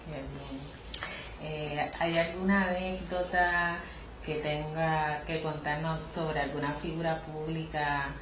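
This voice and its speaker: A woman student interviewer asking formal, somewhat halting questions. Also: low voice, flat delivery. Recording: echoey room with background noise.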